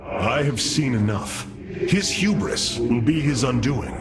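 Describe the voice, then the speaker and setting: An actor playing a noble, resolute alien warrior leader, solemn and formal, in a dramatic game cutscene. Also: male voice, mid-range voice, expressive, slow pace. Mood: solemn resolve. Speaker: military commander. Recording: loud music behind the voice.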